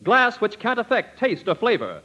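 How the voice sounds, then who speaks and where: A man's voice: sits high, wildly swooping pitch, normal speed, sharp tone. A man reading a scripted 1940s-style radio commercial in a punchy, declarative way. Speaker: commercial announcer. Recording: dull lo-fi vintage radio recording.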